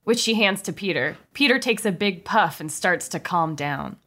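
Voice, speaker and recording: A woman's voice: lowish voice, animated, moderate pace, sharp tone. A woman reading stage directions as narrator of a comedy table read.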